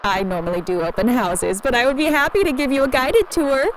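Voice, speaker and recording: An actress playing an eerily cheerful, inhuman realtor in an audio drama, answering with polite, evasive sales patter. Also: female voice, high-pitched, brisk, natural voice. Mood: pleasant, evasive. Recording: noisy interview recording with ambience.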